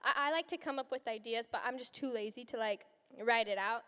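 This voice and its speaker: Giggly high-voiced young woman, hall reverb. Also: a little fast, sharp tone, smooth. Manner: bubbly, giggly, talks over her twin. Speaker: guest creator. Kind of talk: casual chat on stage. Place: convention stage, large hall.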